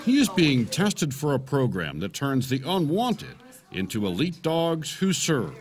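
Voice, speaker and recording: A man's voice: fairly high pitch, sing-song, measured pace. An American man in his sixties narrating a scripted television news feature as a correspondent.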